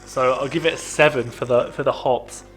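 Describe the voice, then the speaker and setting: A man's voice: medium pitch, steady tone, moderate pace, fairly bright tone, soft background music. A young man co-hosting a casual podcast, chatting and joking with lots of ums and laughs. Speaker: podcast co-host. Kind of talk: casual chat over beer.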